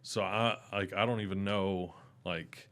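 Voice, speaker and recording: Young man, loud and carrying, lively casual storyteller. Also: measured pace. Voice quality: loud voice that carries. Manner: animated, rising in pitch when excited. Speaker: podcast guest.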